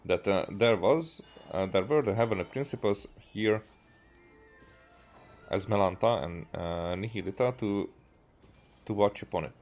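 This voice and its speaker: A man from Hungary, thinking aloud in halting, rambling gameplay commentary and often repeating himself. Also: fairly low pitch, a little mellow. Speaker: video game streamer. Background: faint game music.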